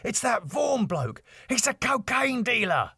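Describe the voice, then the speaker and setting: Man playing frantic male schemer, high-pitched, urgent, exasperated, sitcom comedy, dry recording. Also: sing-song, unhurried, gravelly, bright, forceful tone, slightly rough, no echo, full character voice. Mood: urgent, pleading. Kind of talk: sitcom script read aloud.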